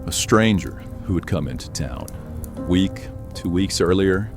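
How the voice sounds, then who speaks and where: A man voicing a weary, brooding French warrior of the Middle Ages in an audio story, speaking in a deliberate, reflective way. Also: low-pitched, expressive, over music. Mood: grave recounting.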